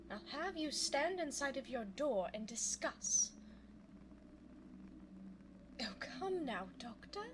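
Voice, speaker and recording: Casting: woman playing a scheming immortal vampiress for ASMR roleplay; high, breathy, slow, formal and teasing. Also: background noise. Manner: formal, coy, teasing, drawn-out phrasing. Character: seductive, scheming immortal female vampire, falsely frail. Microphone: close binaural microphone.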